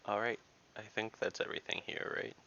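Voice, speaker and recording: A man's voice: lowish voice, monotone, a little fast, sharp tone, a little hiss or hum. A man chatting casually and wryly as a player in a tabletop game. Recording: slightly dull online call audio.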